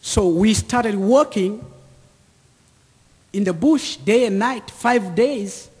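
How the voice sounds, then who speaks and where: A man in his thirties with an East African accent, giving a personal testimony to an audience in deliberate, short repeated phrases. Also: high voice, darkish tone, slightly rough. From Central or East Africa, near Lake Tanganyika. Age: 30s. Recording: echoey hall with long reverb.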